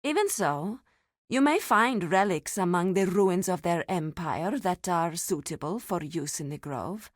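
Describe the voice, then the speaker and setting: An actress voicing a solemn druidic keeper of a sacred grove in a video game, speaking in a measured, formal, grave manner. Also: female voice, lowish voice, animated, no room sound, clean studio sound. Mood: instructive, solemn. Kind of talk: scripted game dialogue.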